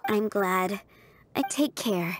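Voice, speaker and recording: A woman playing a kind, earnest townswoman in a video game, explaining things gently and thoughtfully. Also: medium pitch, slow pace, a little hiss or hum, lightly acted. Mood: sympathetic. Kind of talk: video game character acting.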